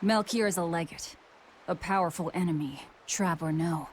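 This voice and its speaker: A woman playing a brusque, hardened young antiheroine in an English game dub, curt and deadpan. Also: on the low side, moderate pace, slight background noise, lightly acted. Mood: cool, curt.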